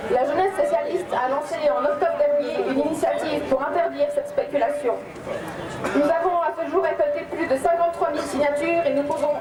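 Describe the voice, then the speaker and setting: A young woman giving a prepared political speech as a youth campaign speaker. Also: high-pitched. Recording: echoey, distant mic, crowd noise.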